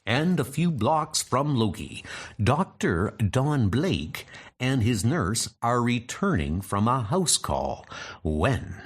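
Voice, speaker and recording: A man's voice: medium pitch, very animated, slow pace, a little hiss or hum. A man narrating a comic book story in a dramatic, scripted style.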